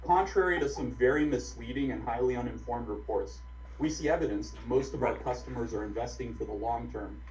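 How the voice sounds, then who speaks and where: A man in his thirties, from Bulgaria, a company executive reading prepared testimony in a composed, even way over a video call. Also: medium pitch, brisk. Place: home office on video call. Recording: echoey video call audio.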